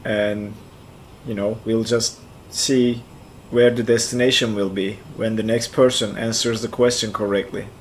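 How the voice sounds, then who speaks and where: A man in his forties from central Turkey, with a Turkish accent, chatting casually on a flight sim stream, answering chat aloud and thinking out loud. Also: on the low side, level tone, a little slow, a little hiss or hum, rough recording. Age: 40s.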